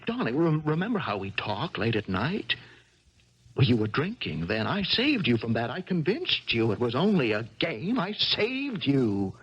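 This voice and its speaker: Desperate, wheedling embezzler, husky voice. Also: male voice, medium pitch, wildly swooping pitch, normal speed, a bit bright, exaggerated voice. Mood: desperate pleading. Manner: stammering, pleading, insistent. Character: desperate, wheedling embezzler pleading with his lover. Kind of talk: 1970s radio drama acting. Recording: dull vintage radio broadcast.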